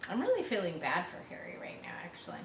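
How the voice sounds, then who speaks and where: A woman with a fairly low voice reads a children's book expressively, stopping to explain things in a chatty way. She sounds a little far from the mic in an echoey room, with faint noise behind her. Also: whispered. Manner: expressive, pauses to explain to young listeners. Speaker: storybook reader. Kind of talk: children's read-aloud with chatty asides. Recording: roomy, distant mic, faint background noise.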